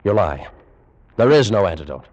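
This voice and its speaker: An actor playing an anguished ancient Egyptian priest, weary of endless life, in grave, theatrical old-time radio drama style. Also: male voice, lowish voice, very animated, a little mellow, no room sound. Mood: desperate fury. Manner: theatrical, grave, slow and brooding.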